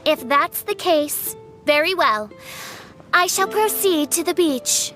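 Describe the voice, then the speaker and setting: A woman's voice: high-pitched, animated, slow, sharp tone, soft background music, full character voice. A woman voicing a haughty teenage girl who speaks like theatrical royalty, in archaic, imperious phrases, for an anime fantasy game. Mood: regal, obliging. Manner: grandiose, archaic, imperious speech.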